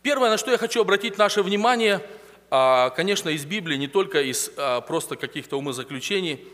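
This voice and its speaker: A man's voice: sits high, lively intonation, brisk, fairly bright tone. Man preaching in a reverberant church hall. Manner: conversational preaching with hesitations, questions to the congregation. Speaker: pastor. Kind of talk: sermon.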